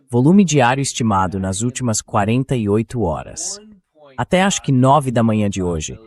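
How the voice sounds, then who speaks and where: A man's voice: medium pitch, darkish tone. A man hosting a discussion show and presenting figures, in a translated voice-over. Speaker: host.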